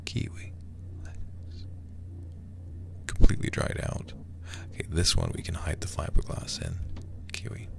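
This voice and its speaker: A man doing hushed ASMR game commentary as a livestreamer, murmuring softly and often whispering close to the microphone. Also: very deep voice, wildly swooping pitch, a little slow. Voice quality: soft, breathy, often whispered. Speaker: livestreamer playing a horror game.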